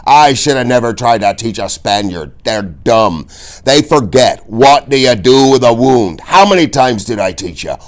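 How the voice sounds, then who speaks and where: Man doing an old fencing master, loud and scolding, pitched up. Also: lively intonation, normal speed, lightly acted. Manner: yelling, scolding. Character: scornful old male fencing teacher, hot-tempered.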